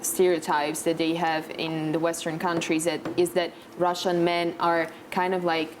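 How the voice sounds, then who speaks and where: A woman's voice: lowish voice, flat delivery, normal speed, background noise. A young woman from Italy with an Italian accent, speaking candidly as an interview guest and searching for words in English, her second language. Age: young adult. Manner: hesitant, searching for words in non-native English. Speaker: talk show guest.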